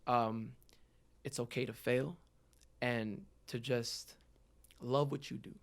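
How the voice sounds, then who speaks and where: A man's voice: medium pitch, fairly even intonation, slow, vocal fry. Young man, early twenties, earnest and reflective interview guest, conversational delivery. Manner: earnest, reflective, frequent "you know". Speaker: guest, rapper. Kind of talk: casual podcast interview, personal reflection.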